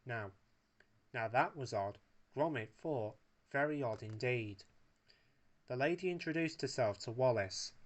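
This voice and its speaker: A man's voice: mid-range voice, animated, a little slow. A man narrating a story as a storyteller, reading aloud from a text.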